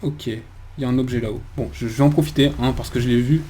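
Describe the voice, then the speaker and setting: Man, let's player, mellow dark tone, medium pitch, casual commentary, hesitant thinking aloud, faint background noise. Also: steady tone, fast. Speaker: video game let's player.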